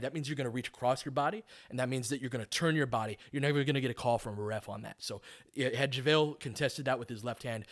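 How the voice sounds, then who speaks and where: A man with a medium-pitched voice calls a basketball game live. He talks informally, like a fan of one side, and follows the action play by play. He also explains tactics in coaching detail. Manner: informal, partisan fan asides. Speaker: play-by-play commentator.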